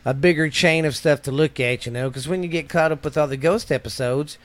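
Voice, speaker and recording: A man's voice: a little high, brisk, no room sound. A man with a Texan accent co-hosting a podcast, chatting casually in a rambling, folksy way and repeating himself. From Texas. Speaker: podcast co-host. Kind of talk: casual chat.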